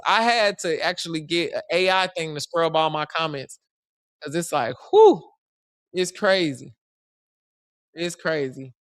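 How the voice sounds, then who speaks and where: A woman's voice: fairly bright tone, dry recording, clean studio sound. A woman, chatting bluntly with her live-stream audience. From Chicago. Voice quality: deep voice. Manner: blunt and slangy, talking straight to the chat. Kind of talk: casual live-stream chat with viewers.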